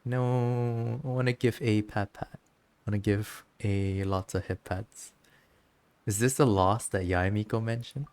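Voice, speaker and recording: A video game streamer chatting casually and reacting to a story with tender, giggly, emotional commentary in a creaky voice. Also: medium pitch, steady tone, unhurried, darkish tone.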